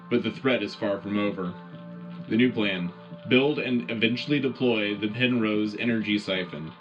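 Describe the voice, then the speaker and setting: A man's voice: mid-range voice, highs cut off, natural voice. A man playing an earnest wartime radio host, delivering scripted war news in a dramatic, punchy, rallying way.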